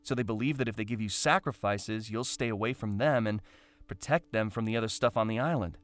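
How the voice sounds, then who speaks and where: A man playing a brave, chatty young castaway in a fan audio drama, with a wry, expressive, conversational delivery. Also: fairly low pitch, fairly quick, lightly acted. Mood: puzzled.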